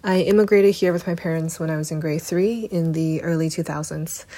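A young woman, speaking thoughtfully and softly as an interview guest. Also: deep voice, a little fast. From Canada. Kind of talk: interview answer.

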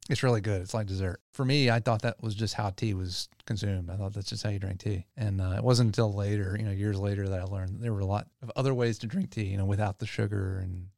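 A middle-aged man from Louisiana talks as a podcast guest, easy and rambling, full of fillers and restarts. His voice sits low and has a gravelly rasp. The sound is dry and close. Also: fast, few pauses, dry recording. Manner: relaxed storytelling, many fillers and restarts. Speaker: tea company co-founder guest. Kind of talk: podcast interview.

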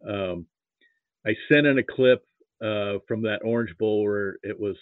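An American man from Nebraska telling anecdotes casually and haltingly as a guest, over a narrow phone-like connection. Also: on the low side, no room sound. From Nebraska, United States. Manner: halting, hesitant. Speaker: podcast guest. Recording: narrow tinny phone-like line.

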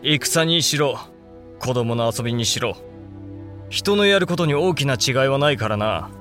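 A male actor plays a cynical samurai commander in a period radio play. His voice sits at a medium pitch, blunt and matter-of-fact, with faint music behind it. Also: animated, normal speed, fairly bright tone, close to an everyday voice. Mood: cynical, reflective. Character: cynical, worldly samurai lord, an elder brother. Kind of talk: Japanese historical audio drama acting.

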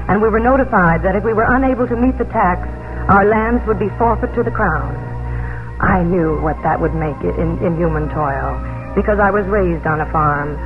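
An actress playing a young, proud but kindly colonial farm mistress, proper, refined and earnest, in a 1950s radio drama. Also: female voice, lowish voice, phone-quality sound, close to an everyday voice. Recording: band-limited old radio broadcast with music.

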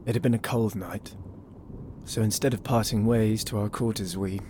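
An actor playing a proud exiled lord who narrates a fantasy audio drama in a solemn, theatrical way with dramatic pauses. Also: male voice, on the low side, steady tone, noisy background, close to an everyday voice. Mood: tender, wistful. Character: proud exiled young lord narrating his empire's bitter history.

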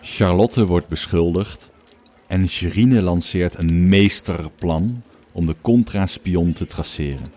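Deep, mellow male story narrator. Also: slow pace. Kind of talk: scripted present-tense story narration.

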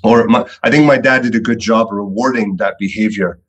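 A middle-aged man from Belgium, a guest telling his story in a podcast interview, relaxed and repeating words often. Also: fairly low pitch, brisk, highs cut off. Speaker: entrepreneur guest.

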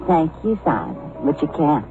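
Muffled old radio drama, sardonic tipsy blonde, lowish voice, teasing drawl, world-weary. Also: female voice, big pitch swings, moderate pace. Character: brassy, sardonic, tipsy blonde good-time woman. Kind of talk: 1940s-50s radio drama acting. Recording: muffled old radio recording, music behind.

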